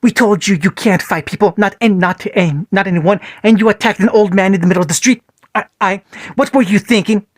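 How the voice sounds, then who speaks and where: A man reading a story aloud, voicing an angry young father who shouts at his son, pitched higher and louder than his own narration. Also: brisk. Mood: angry scolding. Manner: shouting, stern.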